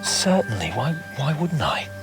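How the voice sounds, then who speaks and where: A man's voice: medium pitch, big pitch swings, a little slow, over music. An actor playing an arrogant, hot-tempered young nobleman in a video game, with a haughty, scornful, exclamatory manner. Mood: boastful, excited. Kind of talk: video game dramatic voice acting.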